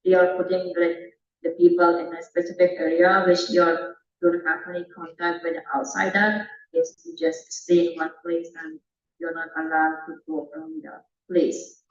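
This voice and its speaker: A young woman from Afghanistan, a college student, speaks in a halting way full of fillers. She sits off mic in an echoey room. The sound is dull and a little muffled. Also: fairly low pitch, far from the mic. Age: young adult. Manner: hesitant, frequent fillers. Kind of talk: personal testimony.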